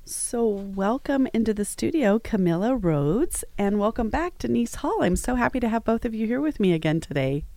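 A woman's voice: on the high side, animated, normal speed, few pauses, dark tone, dry recording. A woman hosting a studio interview, who welcomes her guests and prompts them with friendly questions. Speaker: interview host.

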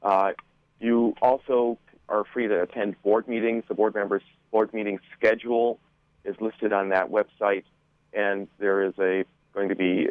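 A man's voice: fairly low pitch, no echo. A man giving informative answers as an interview guest, over a narrow, tinny phone-like line. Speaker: school board member, guest.

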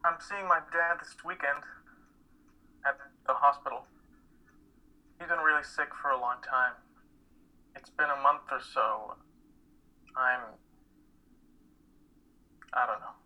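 A man playing a withdrawn, troubled college student in a play reading, halting, terse and guarded. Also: on the high side, fairly even intonation, bright, forceful tone, full character voice, poor audio. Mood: guarded, subdued. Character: withdrawn male college student, grieving his estranged father. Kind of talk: dramatic reading of a stage play.

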